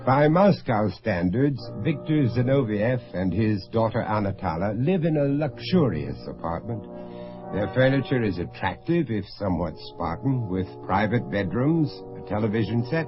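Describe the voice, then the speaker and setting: Grave radio drama narrator man. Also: medium pitch. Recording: dull old radio recording with music.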